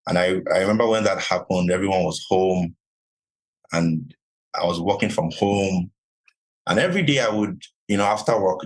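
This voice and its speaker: Man, from nigeria, podcast guest, very low voice, husky, measured, filler-heavy. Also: low-quality recording. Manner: measured, many "you know" fillers. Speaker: podcast guest, real estate investor. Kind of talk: conversational interview answers.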